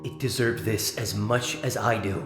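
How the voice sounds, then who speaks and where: A man's voice: on the low side, steady tone, normal speed, close to an everyday voice. Man voicing a sardonic mutant sea creature, echoing. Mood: wry, resigned. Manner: theatrical, mocking, sarcastic drawl. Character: sardonic mutant male sea-creature prisoner, smug and bitter. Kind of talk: scripted comic dub voice acting. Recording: reverberant room sound, music underneath.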